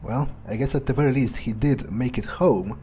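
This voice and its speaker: A man's voice: mid-range voice, normal speed, dark tone, faint music behind, lightly acted. A man playing a callous, foul-mouthed vampire in character during a video game, sneering and improvising with hesitations. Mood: amused, musing.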